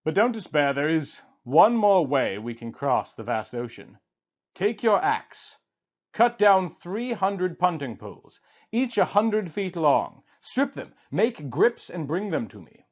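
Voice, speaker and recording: A man voicing a stern, practical boatman with measured, instructing delivery in an epic story. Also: on the high side, very animated, highs cut off, exaggerated voice. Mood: reproving, practical. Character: stern, practical boatman, servant of a distant sage.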